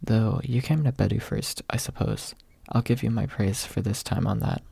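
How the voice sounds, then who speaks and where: A man acts out a haughty little girl spirit from a fantasy storybook. The manner is prim and scolding, the voice soft, husky and gravelly, recorded dry. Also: fairly low pitch, moderate pace, dry recording, exaggerated voice.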